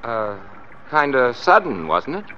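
Cheerful young motorcycle cop, very animated. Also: male voice, mid-range voice, a little slow. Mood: friendly, curious. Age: young adult. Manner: folksy, joshing. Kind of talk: old-time radio drama acting. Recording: muffled, noisy old radio recording.

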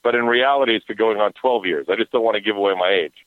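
A wisecracking man in his forties calls in to a podcast. His voice comes through a thin, tinny phone line with no room sound at all, and it sits a little low. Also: quick pace, no room sound. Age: 40s. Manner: wisecracking, self-mocking. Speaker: radio host guest. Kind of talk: casual podcast chat.

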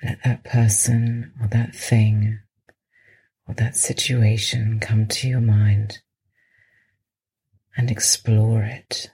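Female meditation guide needed, soft and very breathy, almost whispering at times, unhurried and soothing delivery. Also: rumbling low, flat delivery, low-quality recording. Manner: slow, soothing, with long pauses. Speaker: meditation podcast host and guide.

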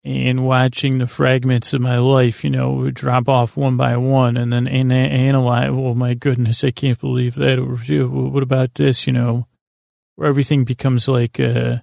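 A man with a creaky, gravelly voice, rambling gently and hesitantly as the friendly, goofy host of a bedtime podcast. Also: mid-range voice, level tone, moderate pace, no echo, studio quality. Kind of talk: rambling, meandering bedtime monologue.

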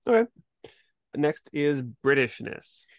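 A man's voice: fairly high pitch, big pitch swings, takes it slowly, dry recording. A man co-hosting a podcast, talking through a review in a casual, conversational way. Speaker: podcast co-host.

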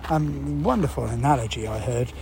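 A man explains a news story in unscripted, halting talk, thinking aloud and posing rhetorical questions. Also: mid-range voice. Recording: clear background noise.

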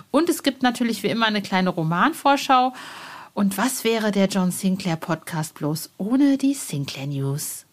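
A woman hosting a podcast in a chatty, informal and enthusiastic way, addressing listeners and guests directly. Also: medium pitch. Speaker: podcast host and music journalist. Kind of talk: upbeat podcast hosting and interview.

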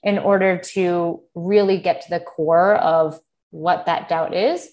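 A woman's voice: lowish voice, steady tone, slow pace, a little mellow. An American woman in her thirties, a podcast guest, speaking in a candid, reflective way and quoting her own thoughts. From United States. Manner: earnest, candid, quotes herself in bursts. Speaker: podcast guest, former Olympic gymnast. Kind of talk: reflective interview talk.

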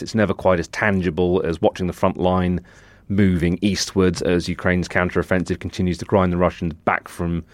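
A man giving measured analytical commentary in formal, articulate phrasing as a reporter. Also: sits low, no echo. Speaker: technology reporter and podcast contributor.

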